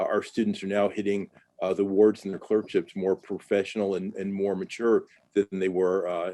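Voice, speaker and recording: A man's voice: fairly low pitch, dark tone. An American man answering questions as a panelist in a webinar, conversational and candid, with plenty of fillers, over a video call. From United States.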